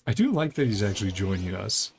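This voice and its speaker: A man's voice: lowish voice, sing-song, fast, creaky, a little mellow, a little hiss or hum. A man streaming a video game, giving casual, rambling, laid-back commentary as he plays.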